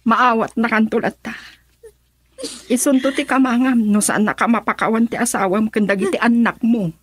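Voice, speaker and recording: A woman's voice: a little high, fairly even intonation, moderate pace, breathy edge, dry recording. A woman playing a frank, concerned friend who urges and advises, in an Ilocano radio drama. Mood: firm, urging. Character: frank, concerned female friend giving advice.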